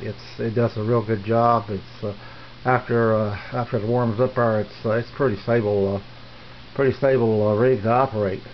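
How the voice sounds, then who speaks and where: A middle-aged or older man giving a casual, unscripted tour as a hobbyist, speaking in a halting, unhurried, plain way. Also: lowish voice, steady tone. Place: small home radio shack, indoors. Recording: dull lo-fi camera audio, faint noise.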